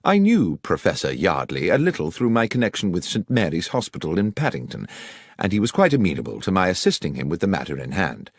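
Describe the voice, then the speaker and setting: A man's voice: low voice, huge pitch swings, brisk, breathy edge, no room sound, clean studio sound. A man reading a scripted detective story as an audiobook narrator.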